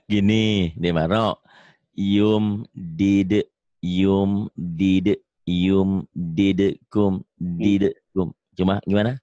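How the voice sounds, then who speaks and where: A man with a Sundanese accent teaching Quran reading over a video call, drawing out syllables slowly, correcting and praising a student. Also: low-pitched, fairly even intonation, dark tone, no room sound, dull audio. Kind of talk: online reading lesson.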